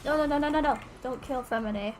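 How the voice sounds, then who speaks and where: A woman with a high voice gives casual, off-the-cuff gameplay commentary as she streams. There is clear noise from the game behind her. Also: brisk. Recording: clear background noise over game audio. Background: video game sounds.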